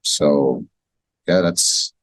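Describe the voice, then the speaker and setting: A man's voice: rumbling low, drawn-out words, no room sound. A man in his thirties from Switzerland, talking casually and reflectively as a coach being interviewed. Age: 30s. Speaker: interview guest, wellness and movement coach. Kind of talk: casual conversation.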